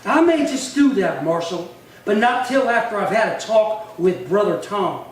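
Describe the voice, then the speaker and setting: High-pitched, swaggering outlaw cowboy played by a man. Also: lively intonation, normal speed. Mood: coolly menacing. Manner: swaggering, threatening. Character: bitter, menacing male drifter cowboy, jilted brother. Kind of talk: old-time western radio acting. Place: little theatre stage, distant mic. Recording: very echoey hall, far from mic, faint noise.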